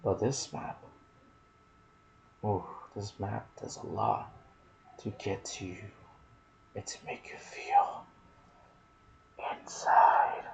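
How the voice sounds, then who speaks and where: A man with a fairly low voice narrates video game lore in an ASMR style. His delivery is very slow and soft-spoken, slipping into whispers. Noticeable ambient noise sits behind his voice. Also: creaky, poor audio. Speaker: video host and gaming content creator.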